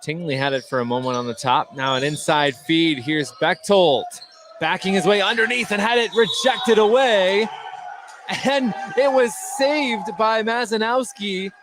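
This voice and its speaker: A man's voice: sits high, a little slow, background noise. A man doing live play-by-play basketball commentary for radio as the announcer.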